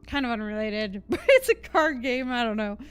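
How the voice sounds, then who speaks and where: A woman's voice: fairly high pitch, very animated, moderate pace, faint music behind. A woman streaming a game and chatting casually as she plays. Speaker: live streamer.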